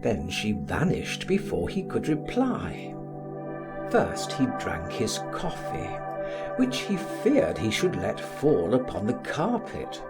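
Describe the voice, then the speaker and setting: Male literary narrator. Theatrical, very wide swooping pitch, unhurried delivery, music underneath. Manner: theatrical, measured, expressive phrasing. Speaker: audiobook reader. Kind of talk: scripted literary narration of a classic novel.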